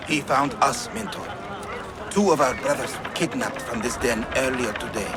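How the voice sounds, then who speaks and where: An actor playing an earnest, dutiful assassin follower in a video game. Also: male voice, mid-range voice, normal speed, heavy background noise, natural voice. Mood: urgent.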